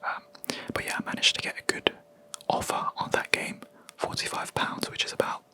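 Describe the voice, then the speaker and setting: A young adult video creator, whispering a rambling, hesitant gaming chat close to the mic in a quiet room. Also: normal speed. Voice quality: soft unvoiced whisper throughout. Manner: rambling, hesitant, with many ums and self-corrections.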